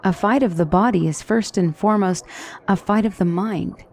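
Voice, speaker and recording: A woman playing a poised, formal demonic tutor in a fantasy ASMR roleplay, speaking as a wise and gently reassuring mentor with measured, courtly phrasing. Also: mid-range voice, a little hiss or hum, lightly acted. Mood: reassuring, instructive. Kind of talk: ASMR fantasy roleplay, scripted character acting.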